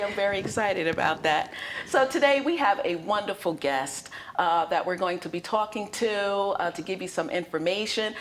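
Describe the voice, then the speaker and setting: A woman hosting a talk show, bubbly and enthusiastic as she chats with her guest. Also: fairly low pitch. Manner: bubbly, enthusiastic, laughs often. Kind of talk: upbeat interview chat.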